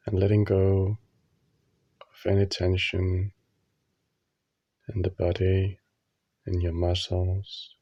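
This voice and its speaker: A man guides a meditation in a calm, soothing manner. His voice is lowish and very even, with little melodic movement, and he leaves long pauses between phrases. The recording is dull and slightly muffled. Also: dry recording.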